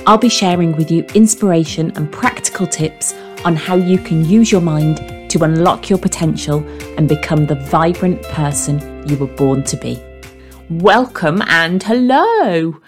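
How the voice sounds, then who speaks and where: Woman, podcast host, slightly croaky, lowish voice, earnest and encouraging. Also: lively intonation, a little slow, soft background music. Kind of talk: motivational podcast talk.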